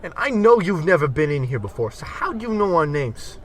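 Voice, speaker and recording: A man's voice: fairly high pitch, sing-song, brisk, fairly bright tone. A man playing a slangy, wisecracking working-class barfly in an old-time comedy radio play. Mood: puzzled. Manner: slangy tough-guy patter. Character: wisecracking 1940s-style working-class barfly, excitable, easily panicked.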